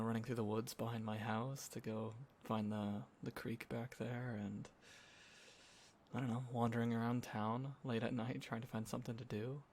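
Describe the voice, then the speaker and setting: A man acting as a sentimental young guy catching up with a close friend, talking in a casual, halting, soft-hearted ramble for an intimate roleplay audio. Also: medium pitch. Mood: playful, nostalgic. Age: young adult. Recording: clear background ambience noise. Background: quiet city ambience.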